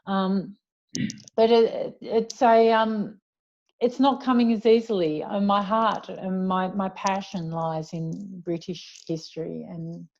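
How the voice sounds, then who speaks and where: A woman's voice: medium pitch, steady tone, slow, creaky, a little mellow, dull audio. An Australian woman speaking as an author in a conversational interview, chatty and reflective as she explains at length. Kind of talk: conversational author talk, answering questions.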